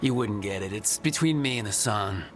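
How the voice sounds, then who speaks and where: An actor playing a confident young hero in a video game, with a casual, wry and reassuring manner. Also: male voice, lowish voice, expressive, normal speed, over music, natural voice. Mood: wry, relaxed.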